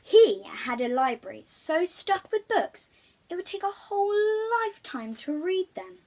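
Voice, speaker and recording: A woman's voice: high-pitched, sing-song, a little slow, darkish tone. A woman reading a children's storybook aloud, expressively, stressing key words.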